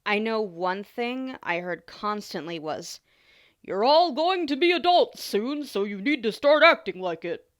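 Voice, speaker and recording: A woman's voice: on the high side, expressive, measured pace. A woman playing a caring, teasing young adult older sister in an ASMR roleplay, talking casually and informally straight to the listener. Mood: frank, exasperated. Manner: playful, informal, sighs and small laughs.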